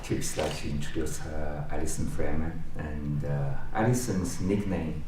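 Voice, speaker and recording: A middle-aged man from China, a native Chinese speaker with a Chinese accent, introducing a guest at a university talk in halting English full of restarts and fillers. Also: extremely low pitch, fairly even intonation. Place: lecture room. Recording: roomy echo, distant mic, faint background noise.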